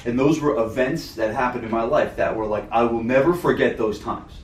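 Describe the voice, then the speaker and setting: A man's voice: medium pitch, fairly quick. A man, a gym owner co-hosting a podcast, talking earnestly and rambling with many "like"s and "you know"s. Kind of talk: casual podcast talk. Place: podcast studio. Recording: roomy, mic at a distance, faint noise.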